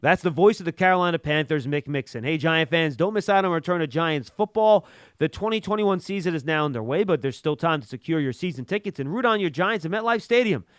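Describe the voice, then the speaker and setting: A man's voice: a little high, lively intonation, brisk, smooth, no room sound, clean studio sound. A man hosting a sports show, reading scripted promos and segment links.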